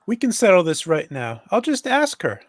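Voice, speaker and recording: A man's voice: high voice, huge pitch swings, moderate pace, exaggerated voice. A man playing an exasperated, loyal young woman sidekick, impatient and scolding, in a live script read.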